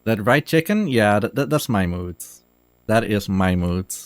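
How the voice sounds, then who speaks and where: A man's voice: fairly low pitch, animated, a little slow, a little hiss or hum. A man giving casual live speedrun commentary, explaining things in a hesitant, dryly humorous way.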